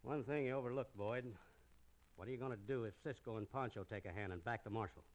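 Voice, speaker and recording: Radio western mastermind, male actor: mid-pitched, cold, commanding, calculating banker villain. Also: close to an everyday voice, low-quality recording. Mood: smug. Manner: cold, bossy, deliberate. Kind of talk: old radio western acting.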